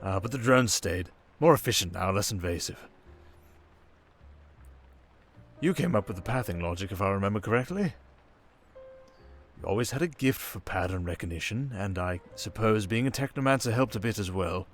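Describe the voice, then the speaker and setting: A suave criminal mastermind, acted by a man for an ASMR roleplay, coaxing and condescending. His slightly low voice rises and dips theatrically, with long, deliberate pauses over music. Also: lively intonation. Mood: amused, nostalgic. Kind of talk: intimate ASMR villain roleplay monologue. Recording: music behind the voice.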